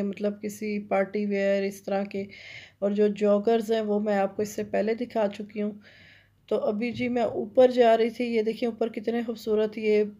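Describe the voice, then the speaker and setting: A woman's voice: mid-range voice, dark tone. A middle-aged woman chatting casually as she walks through a large clothing store, gushing over the items and filling her talk with fillers, filmed on a handheld phone. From Pakistan. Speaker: vlogger. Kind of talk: casual shopping vlog narration. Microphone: handheld phone camera. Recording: dull lo-fi phone audio.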